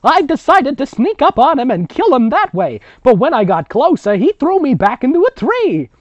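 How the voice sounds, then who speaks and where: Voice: high-pitched, sing-song, moderate pace, no echo, muffled recording, pitched above the performer's own voice. A reader voicing an elderly grandmother witch, theatrically reminiscing through an exaggerated storybook reading.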